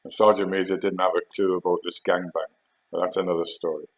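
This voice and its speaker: A man's voice: sits low, flat delivery, muffled recording. An older man with a Geordie accent, an army veteran telling rambling war stories in a casual, hesitant way. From Northeast England. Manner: unhurried, rambling, hesitant with fillers. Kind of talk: casual anecdotal storytelling.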